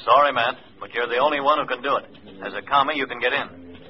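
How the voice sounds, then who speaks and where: A man's voice: medium pitch, fast talker, close to an everyday voice. An actor playing a firm male agent's handler, terse and urgent, in 1950s radio drama style. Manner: terse, urgent, matter-of-fact. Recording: muffled old radio recording, faint noise.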